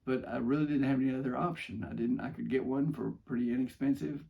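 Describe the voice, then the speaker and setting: A man's voice: medium pitch, fairly even intonation, quick pace, gravelly, narrow, tinny audio. An older man with an American accent, casually telling first-person stories in a rambling, modest, homespun way. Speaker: father telling stories from his youth.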